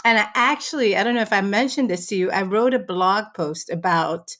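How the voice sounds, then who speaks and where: A woman's voice: mid-range voice, a little fast, a bit bright. A woman hosting a casual interview, enthusiastic and affirming toward her guest. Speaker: podcast host.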